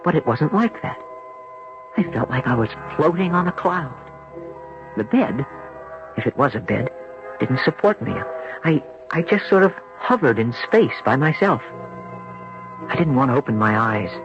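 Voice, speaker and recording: An actor playing a wary young surfer in a 1970s radio drama, speaking and narrating in a dramatic, emphatic way. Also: male voice, mid-range voice, lively intonation, normal speed, long pauses, over music, close to an everyday voice. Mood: tense recounting. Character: bewildered young surfer man, wary and agitated. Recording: dull, noisy 1970s radio recording.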